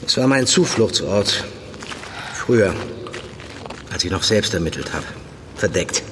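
Low-voiced, measured police mentor. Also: male voice, lively intonation, fairly bright tone, slight background noise. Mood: subdued, reflective. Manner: measured, deliberate, pausing between phrases. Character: seasoned male undercover police handler, controlled, probing mentor. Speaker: actor in fiction podcast. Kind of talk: naturalistic German audio drama acting.

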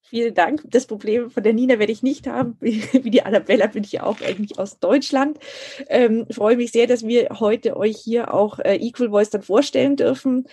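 A woman guest starts her part of the interview cheerfully, with a husky and mellow voice. She uses a few fillers as she talks. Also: fairly high pitch, moderate pace. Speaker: guest, project co-founder. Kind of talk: interview introduction.

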